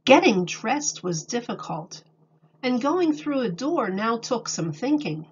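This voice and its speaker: A woman reading a picture book aloud to children like a teacher, deliberate and expressive. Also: fairly high pitch, breathy edge. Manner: deliberate, expressive, explains words for young children. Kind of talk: read-aloud storybook narration. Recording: dull lo-fi recording.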